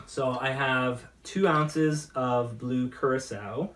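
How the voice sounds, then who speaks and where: A man's voice: mid-range voice, takes it slowly, a bit bright. A chatty, enthusiastic man hosting a casual talk show, bantering as he mixes drinks. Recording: roomy, distant mic with noise and clicks.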